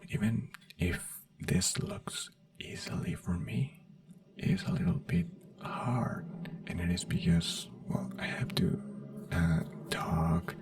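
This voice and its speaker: Slow whispering man, gravelly and low. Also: no echo, recorded from a distance. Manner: slow, gentle whisper with halting, simple phrasing. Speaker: ASMR creator playing a neurologist. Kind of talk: whispered ASMR roleplay.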